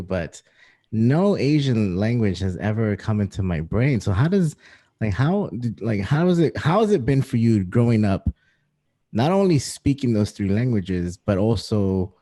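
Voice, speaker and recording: A man hosts a casual interview, his voice low and soft-edged. He is enthusiastic and tends to ramble as he asks his questions. A warm, relaxed tone runs through his talk. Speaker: podcast host.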